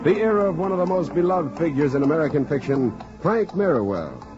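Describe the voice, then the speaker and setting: A man's voice: a little high, big pitch swings, fairly quick. Old 40s radio announcer guy, big swooping dramatic delivery, music behind, muffled. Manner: dramatic, declamatory. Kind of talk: 1940s radio announcing, scripted narration. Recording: muffled vintage 1940s radio recording.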